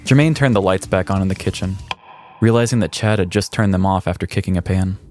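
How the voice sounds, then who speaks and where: A man's voice: low-pitched, quick pace, a little mellow, faint music behind. A man podcast host reading a scripted comedic story in a mock-dramatic way.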